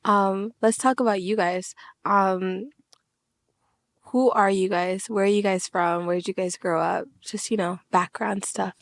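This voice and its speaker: A woman's voice: mid-range voice, steady tone, a little slow, creaky, dry recording. A woman hosting a podcast, upbeat and chatty, laughing often. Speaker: podcast host. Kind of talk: casual chat.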